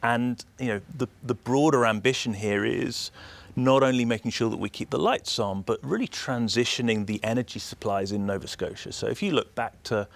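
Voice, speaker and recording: A man with a British accent giving measured, explanatory answers as an executive being interviewed. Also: medium pitch, gravelly. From United Kingdom. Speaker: energy system operator executive, interview guest.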